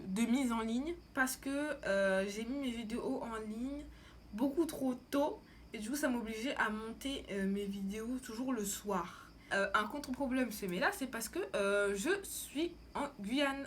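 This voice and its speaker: A woman's voice: a little high, a bit bright, a little hiss or hum. A young woman from France chatting casually as a vlogger, with an informal, slangy, rambling way of talking. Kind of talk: casual chat to camera.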